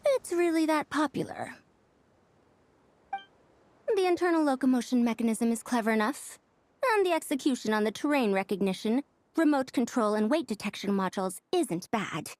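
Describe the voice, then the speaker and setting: A woman's voice: fairly high pitch, lively intonation, normal speed, a little mellow, slight background noise, natural voice. A woman voicing a proud, fussy, youthful-looking senior scholar who lectures emphatically in an anime-style video game. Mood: critical. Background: background music.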